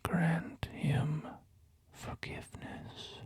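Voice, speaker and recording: A man in a slow whisper, voicing a gentle saint spirit who gives solemn commands. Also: on the high side, monotone, breathy edge, pitched above the performer's own voice, full character voice. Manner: hushed whisper.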